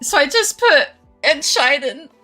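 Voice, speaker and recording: A young woman streamer chatting casually while gaming, animated and swearing freely with frequent laughs. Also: high voice, unhurried, soft background music. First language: English.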